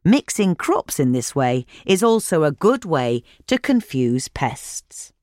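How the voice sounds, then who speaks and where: A woman narrates practical instructions. She reads slowly and clearly, with a lively rise and fall, enunciating each item in a measured way. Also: sits low, dry recording, clean studio sound. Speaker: narrator reading a gardening magazine article. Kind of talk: scripted narration, instructional list reading.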